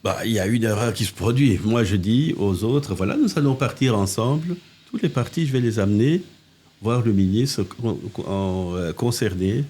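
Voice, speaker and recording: Older guy, mayor, husky lowish voice, tells stories in bursts w/ restarts. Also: normal speed. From Congo. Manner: animated anecdotes with restarts and asides. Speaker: mayor, political guest. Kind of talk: casual interview storytelling. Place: living-room podcast set.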